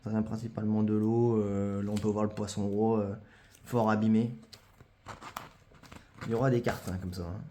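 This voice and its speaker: A man's voice: fairly low pitch, flat delivery, moderate pace, pauses often, dark tone. A man casually showing his card collection, talking hesitantly with many fillers and repeated phrases. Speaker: collector presenting his trading cards. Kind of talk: casual show-and-tell vlog.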